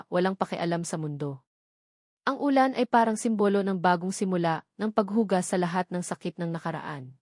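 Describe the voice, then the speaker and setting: An earnest woman narrates a romantic story with gentle feeling and expressive phrasing. Her voice sits at a middle pitch. The recording is close and dry, with no room sound. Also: no echo. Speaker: storyteller and author.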